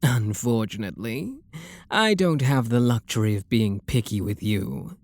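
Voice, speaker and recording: Man acting as aristocratic vampire lord, British accent, unhurried, expressive intonation, soft genteel flirtation, possessive, ASMR roleplay. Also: medium pitch. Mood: patient, negotiating. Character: refined, wealthy male vampire lord, possessive and courteous.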